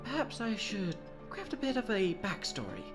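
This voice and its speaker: A man's voice: high voice, wildly swooping pitch, moderate pace, music in the background. A man voicing a scheming mad-scientist platypus in a comic dub, pompous, articulate and condescending in a theatrical way. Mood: musing, frustrated.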